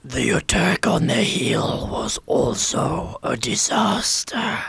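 A man playing a sinister, imperious alien overlord in a science fiction radio drama, in a raspy, menacing whisper full of contempt for underlings. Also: medium pitch, big pitch swings, takes it slowly, a bit bright, heavily acted. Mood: menacing, contemptuous.

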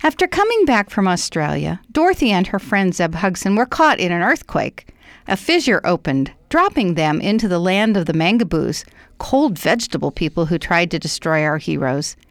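Animated woman narrator, close dry sound. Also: fairly low pitch, normal speed, no room sound. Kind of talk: scripted radio drama narration.

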